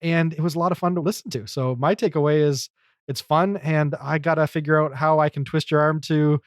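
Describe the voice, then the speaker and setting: A man's voice: fairly high pitch, steady tone, brisk, dry recording, clean studio sound. A man in a casual podcast discussion, talking in a rambling, conversational way as a commentator.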